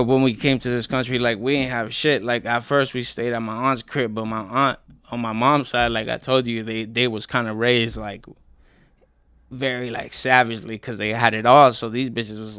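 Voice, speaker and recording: Young man, interview guest, easygoing slang-heavy talk, smooth resonant tone. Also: mid-range voice, steady tone, moderate pace, fairly bright tone, no room sound, studio quality. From Colombia. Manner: laid-back slangy storytelling, many fillers.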